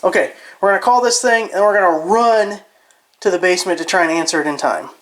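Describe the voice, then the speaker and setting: A man's voice: sits high, fast talker. A man giving a casual, informal hands-on product test narration, with a self-deprecating manner. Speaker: product reviewer. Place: indoors around a house, behind the camera.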